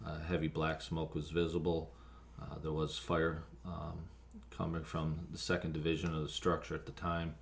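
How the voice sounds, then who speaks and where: An American man, a fire officer being interviewed, recounting events haltingly with frequent ums and pauses. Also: a bass voice, monotone, vocal fry, a little hiss or hum. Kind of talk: spoken account of an emergency call, interview.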